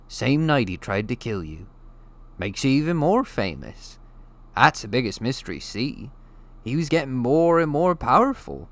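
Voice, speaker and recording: A male narrator plays a huge storybook giant, gruff yet kindly. He talks in a folksy, rustic way with loose grammar. His delivery is emphatic and full of weight. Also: on the low side, fairly bright tone. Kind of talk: audiobook character voice.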